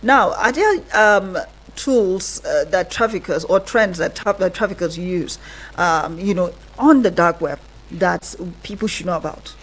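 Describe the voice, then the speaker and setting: A woman's voice: on the low side, unhurried, low-quality recording. A woman with a Nigerian accent hosting a bilingual radio interview programme. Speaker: radio host.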